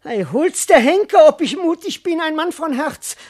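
An actor playing a boastful but cowardly servant in a classical verse radio comedy, declaiming self-importantly and muttering to himself. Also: male voice, very high-pitched, very animated, normal speed, a little mellow. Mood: indignant bluster. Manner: theatrical, declamatory, self-important, shifting between muttering and proclaiming.

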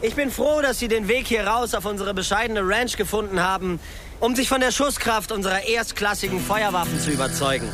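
A man's voice: sits high, brisk, music in the background, natural voice. An actor in a German radio crime drama playing a brash, foul-mouthed porn producer with a swaggering showman's manner. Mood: boastful, rousing.

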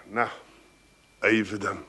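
An actor playing the dealer's down-to-earth, exasperated son with an Amsterdam accent in a radio play. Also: male voice, on the low side, level tone, takes it slowly, heavy background noise. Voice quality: blunt, dry.

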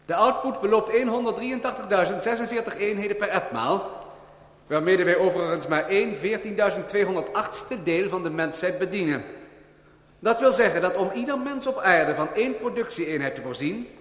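An actor playing an earnest, idealistic employee who defends humanity in solemn, bombastic radio-play oratory. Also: male voice, high voice, moderate pace, fairly bright tone, breathy edge. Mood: formal, precise. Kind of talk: declamatory older radio-play acting.

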